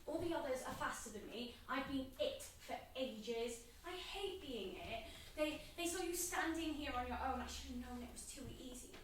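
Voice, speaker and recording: Woman playing a high-pitched chatty schoolgirl, very echoey. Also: animated, normal speed, hardly any pauses. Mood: playful, breathless. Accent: British. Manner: playful, giggly, blurting. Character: chatty, cheeky, friendly young schoolgirl. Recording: very echoey room, distant mic, noise.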